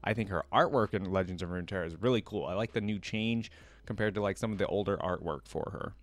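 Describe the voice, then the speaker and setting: A man's voice: low voice, fast, no echo. Enthusiastic man, rambling reaction host. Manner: enthusiastic, rambling, many fillers. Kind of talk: casual reaction commentary.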